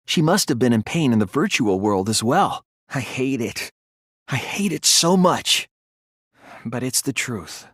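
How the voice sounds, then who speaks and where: A man voicing an earnest young male detective in a video game, thoughtful and anguished but resolute, with deliberate, analytical phrasing. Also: medium pitch, no echo, studio quality. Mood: pained, gentle. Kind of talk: dramatic video game voice acting, deductive explanation.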